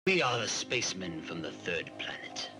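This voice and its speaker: A grave alien spaceman from an old dubbed film, his very low voice rolling up and down as he declaims. The sound is distant, and loud film music plays under him. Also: huge pitch swings, normal speed. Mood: solemn. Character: solemn alien spaceman announcing himself.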